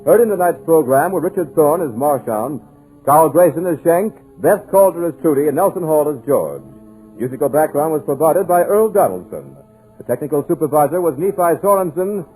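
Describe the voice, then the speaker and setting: A man reading the closing credits as a radio announcer, formal and even. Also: on the high side, fairly quick, low-quality recording. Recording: old radio recording with music.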